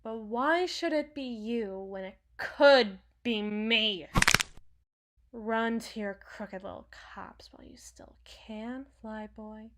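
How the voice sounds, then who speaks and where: A woman's voice: a little high, takes it slowly. A woman playing a brash, sarcastic, protective villain's henchwoman and field medic in an intimate, dramatic audio roleplay. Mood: taunting. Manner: sardonic, dramatic, swings from hushed muttering to outbursts.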